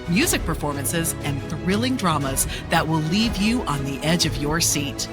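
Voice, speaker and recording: Salesy fantasy commercial announcer played by a woman, animated scripted read, polished, with music clearly playing behind. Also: medium pitch, close to an everyday voice. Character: polished fantasy radio commercial announcer selling magical technology. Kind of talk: scripted advertisement narration. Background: prominent music bed.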